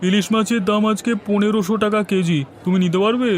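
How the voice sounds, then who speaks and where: A man playing a brisk, businesslike village fish seller in a cartoon. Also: high-pitched, fairly even intonation, close to an everyday voice. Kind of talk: Bengali cartoon story voice acting. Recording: faint music behind the voice.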